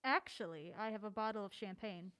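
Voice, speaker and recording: An actress playing a mild-mannered, witty film buff in an audio drama, with wry, playful banter. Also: female voice, mid-range voice, a little fast, a bit bright, clear, resonant tone, lightly acted. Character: mild-mannered, witty film-buff woman, assistant video store manager.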